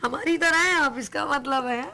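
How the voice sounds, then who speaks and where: A high-pitched woman with a Pakistani Urdu accent hosts a live morning show. She talks in a playful, teasing, chatty way, and her throat sounds a little sore. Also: moderate pace, gravelly, sharp tone. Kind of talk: casual live TV chat.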